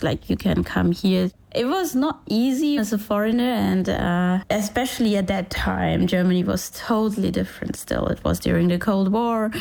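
A woman's voice: medium pitch, vocal fry. A woman in her forties from Sri Lanka, telling her story in an interview, laughing often and acting out what people said. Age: 40s. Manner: laughs often, animated, quotes people in little voices. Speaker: interview guest, engineer and founder. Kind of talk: conversational storytelling.

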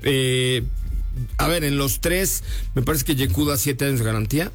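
This is a relaxed male car show host with a Mexican accent. He chats casually about test drives in an easygoing, bantering way and trips over his words as he goes. His pitch sits in a middling register. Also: normal speed, soft background music. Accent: Mexican Spanish. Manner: joking, relaxed, uses slang and restarts. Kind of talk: casual car review chat.

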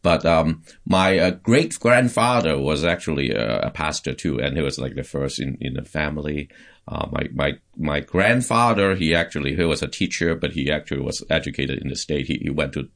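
A man from Hong Kong, a pastor being interviewed, speaking haltingly with many fillers and restarts. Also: extremely low pitch, lively intonation, vocal fry, fairly bright tone. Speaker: pastor and guest. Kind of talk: conversational interview.

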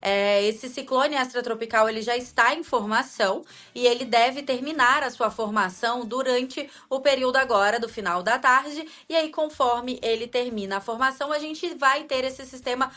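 A young woman from Espírito Santo gives a radio weather report in a mixed Espírito Santo and Minas Gerais accent. Her voice sits fairly high and rings bright and crisp. She explains things clearly and at a measured pace. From Espírito Santo, Brazil.

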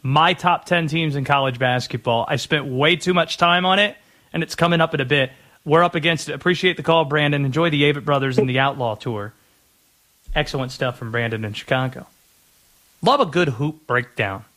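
An American man hosts a sports talk show. His voice is bright and sits slightly high. He talks conversationally, musing aloud as he goes. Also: fairly quick. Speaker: sports radio host. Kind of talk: sports talk radio monologue and caller chat.

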